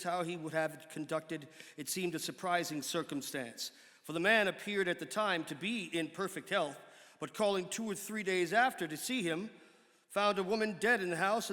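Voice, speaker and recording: Man reading an old document out loud, measured and formal, slightly high voice, echoey hall. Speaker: actor giving a dramatic reading. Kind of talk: dramatic reading of an 18th-century pamphlet. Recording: reverberant hall.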